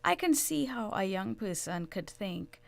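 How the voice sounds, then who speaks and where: A middle-aged woman with a Trinidadian accent, speaking in a measured, earnest way as a guest analyst in a radio interview. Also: medium pitch, sing-song, gravelly. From Trinidad and Tobago. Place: radio studio.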